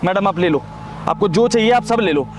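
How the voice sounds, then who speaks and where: High-pitched, principled vegetable seller. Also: male voice, expressive, brisk, soft background music. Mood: resigned, moralizing. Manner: polite address, sarcastic, moralizing. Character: poor, principled, long-suffering male vegetable seller.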